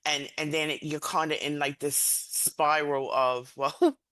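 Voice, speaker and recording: Podcast host, female: bright, forceful delivery, very dry sound, emphatic yet conversational interviewing. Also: low-pitched, flat delivery, measured pace, no echo.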